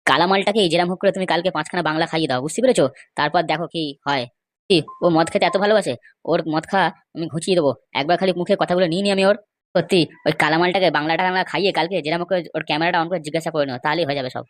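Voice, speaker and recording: A woman's voice: on the low side, very fast, dry recording, lightly acted. A woman playing an aggrieved, scheming male villager in a comedy cartoon, complaining in an emphatic, gossipy way. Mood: scheming, resentful. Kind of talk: amateur comedy cartoon dialogue.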